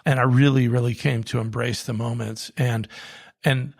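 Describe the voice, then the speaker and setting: Gravelly, husky-voiced man, halting and reflective. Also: medium pitch, steady tone. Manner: halting, many restarts and fillers. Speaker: coffee company co-founder, guest. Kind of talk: reflective interview conversation.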